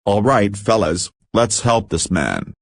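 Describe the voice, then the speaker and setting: A man as a low-voiced team leader. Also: huge pitch swings, slow pace, vocal fry, noisy background, full character voice. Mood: resolute. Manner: plain, short rallying lines. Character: male leader of a creature-fighting group, helpful. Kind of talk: amateur game-based audio drama.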